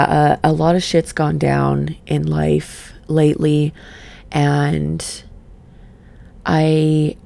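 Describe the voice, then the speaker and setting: A middle-aged woman who just woke up, leading a gentle breathing exercise and then reflecting in a soft, meandering way. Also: deep voice, vocal fry. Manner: soft, slow and meandering, with sighs and fillers. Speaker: podcast host and session coach. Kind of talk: guided breathing then casual reflective talk.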